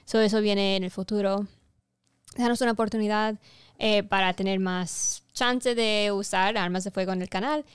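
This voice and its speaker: Very clear, smooth woman's voice. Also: medium pitch, steady tone, brisk, dry recording, studio quality. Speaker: podcast co-host. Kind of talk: casual bantering chat.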